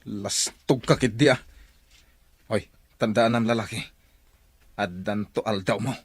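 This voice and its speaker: An actor playing a menacing, taunting villain in a radio drama. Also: male voice, fairly low pitch, unhurried, no echo. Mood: threatening. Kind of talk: Ilocano radio drama acting.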